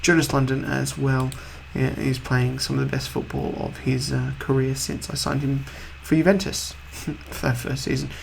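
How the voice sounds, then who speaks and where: Husky, gravelly man with a cold. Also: medium pitch, flat delivery, normal speed, few pauses, a little hiss or hum. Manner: rambling, offhand, chatty. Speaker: video game let's-play host. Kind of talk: casual game commentary.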